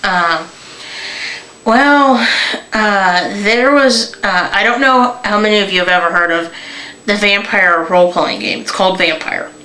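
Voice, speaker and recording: A young adult woman from Northern Kentucky, telling local stories in a casual, halting vlog full of fillers and restarts. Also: medium pitch, bright, forceful tone, roomy sound, rough recording. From Northern Kentucky, USA. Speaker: vlogger. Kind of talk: casual rambling storytelling.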